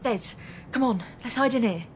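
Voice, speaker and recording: A woman's voice: fairly high pitch, moderate pace, close to an everyday voice. A woman playing a bossy, sarcastic young female fugitive in an audio drama, with a scolding manner. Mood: urgent. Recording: faint background noise.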